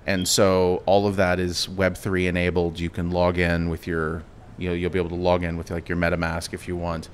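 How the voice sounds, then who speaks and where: A man answering interview questions at a conference in a relaxed, casual way, with the odd restart. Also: deep voice, fairly quick, few pauses, a little hiss or hum. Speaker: interview guest, company executive. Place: crypto conference venue.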